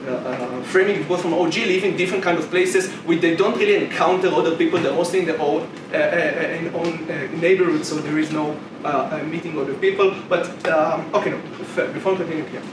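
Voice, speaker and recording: Halting young Israeli man debating, far off. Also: on the high side. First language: Hebrew. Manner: argumentative, halting with many restarts. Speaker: competitive debater. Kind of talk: debate speech. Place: debate room. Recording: roomy echo, far from mic, noisy.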